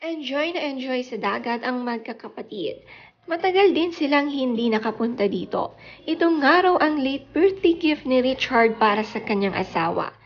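Woman, showbiz news voice-over, fairly high voice, calm caption reading, lo-fi muffled audio. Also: normal speed. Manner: reads captions aloud in a calm mix of Tagalog and English. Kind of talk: scripted voice-over narration.

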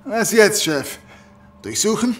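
A man's voice: sits high, expressive, takes it slowly, fairly bright tone, heavily acted. An actor playing a brutal, sneering henchman in an audio drama, barking crude threats. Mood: eager. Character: brutal, sneering male henchman of gang boss. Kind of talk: German audio drama acting.

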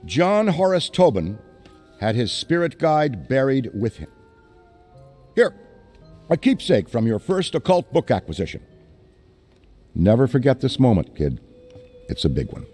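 An actor playing an excitable middle-aged occult scholar who rambles eagerly with hesitant fillers. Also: male voice, medium pitch, unhurried, pauses often, faint music behind. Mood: enthusiastic.

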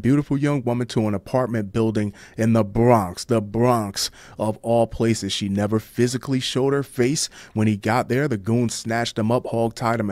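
A man's voice: medium pitch, a little mellow, no echo. A man with an American accent reading crime news and commenting on it in a blunt, sardonic, slangy way.

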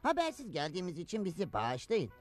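A man's voice: high-pitched, huge pitch swings, fast, over music. A man voicing a fussy, over-polite bird scout leader in a cartoon, preachy and easily flustered. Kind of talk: animated cartoon dub acting.

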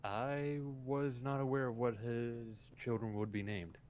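A man playing a polite, measured police officer in a game roleplay, speaking formally and hesitantly over voice chat. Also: mid-range voice, little pitch movement, a little mellow, highs cut off, natural voice.

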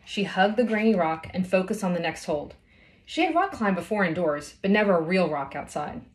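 Lower-pitched woman reading a story aloud. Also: lively intonation, brisk. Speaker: storybook reader. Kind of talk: read-aloud of a children's novel. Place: small indoor room at home.

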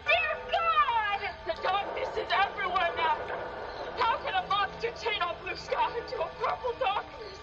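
A woman's voice: very high-pitched, animated, moderate pace, over loud music, over a noisy crowd. A woman playing a terrified onlooker in a horror radio drama, exclaiming with breathless drama. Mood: panicked. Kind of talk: theatrical radio drama acting. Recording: muffled, far from mic, echoing.

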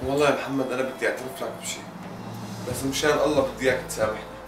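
A man's voice: mid-range voice, fairly even intonation, very fast, noisy background, lightly acted. A young man playing a remorseful friend who pleads for forgiveness, recorded from a distance in a roomy space with music behind. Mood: pleading. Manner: pleading, guilt-ridden.

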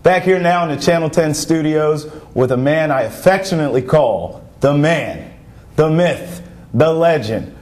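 A man's voice: a little high, expressive, unhurried, darkish tone. A man in his thirties hosting a local sports show in a TV studio, upbeat and playful, on a lapel mic. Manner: upbeat, playful broadcast delivery. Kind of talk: studio interview.

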